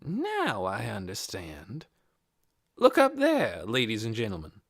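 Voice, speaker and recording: A man's voice: on the low side, wildly swooping pitch, slow, fairly bright tone, heavily acted. A reader voicing a tall, stooped, pompous man who speaks formally and with indignation in an audiobook. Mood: amused. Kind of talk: audiobook character voice.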